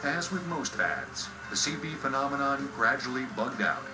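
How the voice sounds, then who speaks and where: A man's voice: mid-range voice, very animated, normal speed. An American man reading scripted documentary narration with a playful, punchy delivery. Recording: roomy sound under loud music bed. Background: music.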